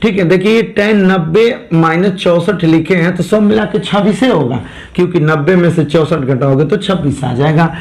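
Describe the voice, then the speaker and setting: A hoarse male teacher walks students through a problem. His voice sits a little high for a man, and his throat sounds worn. He repeats each step and keeps checking that the class is following. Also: normal speed, darkish tone. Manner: coaxing, repeats steps and checks understanding. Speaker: math teacher. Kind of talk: classroom math lesson.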